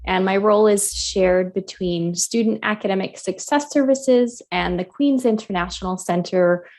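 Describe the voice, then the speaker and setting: A woman's voice: medium pitch, normal speed, a little mellow. A woman, an intercultural academic support coordinator, plainly introducing her role on a video call.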